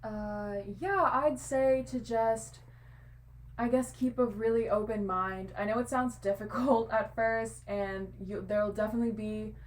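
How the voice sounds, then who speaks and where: Thoughtful young woman from Singapore. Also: mid-range voice. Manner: thoughtful, hedging. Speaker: guest. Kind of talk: casual interview chat.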